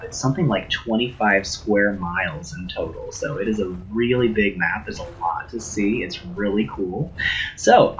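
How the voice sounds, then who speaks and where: A man's voice: mid-range voice, fairly bright tone, muffled recording. A man, a little sick, casually streaming a game tour and chatting with his viewers.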